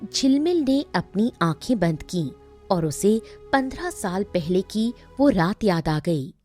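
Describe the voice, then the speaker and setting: A woman's voice: medium pitch, big pitch swings, measured pace, mellow, faint music behind. A woman narrating a scripted cartoon moral story as storyteller.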